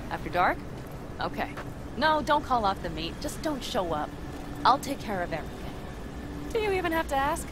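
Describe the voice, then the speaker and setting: Game role: female actor as fiery young woman merc driver, blunt, combative, very expressive, noisy road ambience. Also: medium pitch, lightly acted. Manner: blunt, foul-mouthed, combative. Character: hot-headed, proud young woman mercenary driver. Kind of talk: naturalistic video game acting. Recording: vehicle and outdoor ambience noise behind.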